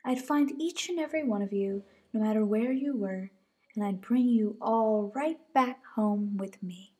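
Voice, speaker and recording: A teenage girl voicing a gentle, loving mother bunny in a bedtime storybook, speaking softly and tenderly. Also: medium pitch, measured pace, natural voice. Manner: soft, tender.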